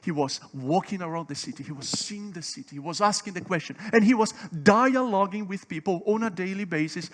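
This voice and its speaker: Guy giving a seminar talk, bit high pitched, gets loud and emphatic, asks the crowd stuff. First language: Portuguese. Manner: emphatic, swelling louder on key points; asks the audience questions. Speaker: seminar speaker. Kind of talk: conference seminar teaching.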